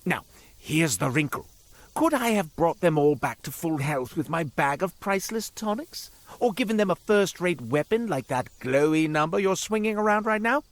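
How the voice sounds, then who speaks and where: A man's voice: a little high, dry recording. An actor playing a chatty, self-important male merchant in a video game, wry, cowardly and theatrical, given to digressions as he tells his tale. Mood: sly, self-justifying. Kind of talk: theatrical character monologue, storytelling.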